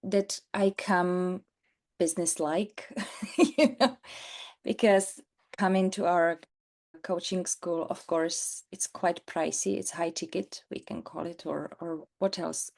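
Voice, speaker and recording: Woman with Czech accent, coach guest; slow, halting delivery, low-ish register. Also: fairly even intonation, gravelly. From Czech Republic. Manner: warm, halting, repeats words as she searches for phrasing. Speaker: transformative coach and mentor. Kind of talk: casual interview chat.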